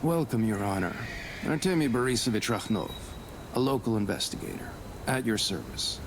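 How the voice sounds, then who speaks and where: An actor playing a deferential, earnest local police investigator in a period detective visual novel. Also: male voice, fairly low pitch, animated, moderate pace, noisy background, natural voice. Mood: respectful. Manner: respectful, earnest. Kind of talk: scripted visual novel voice acting.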